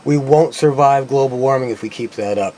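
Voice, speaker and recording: A man's voice: medium pitch, fairly quick, darkish tone, poor audio. A man giving an unscripted, opinionated political monologue, speaking earnestly and often stammering or repeating himself mid-thought. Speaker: online commentator.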